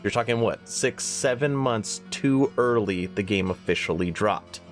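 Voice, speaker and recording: A man's voice: lowish voice, unhurried, soft background music. A man hosting a gaming news channel, sounding nasal from a cold, talking earnestly in a conversational way. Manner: earnest, conversational, reads a forum post aloud. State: has a cold.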